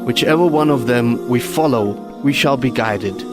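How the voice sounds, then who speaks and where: A man's voice: medium pitch, normal speed, music in the background. A man giving solemn, scripted religious narration as a narrator.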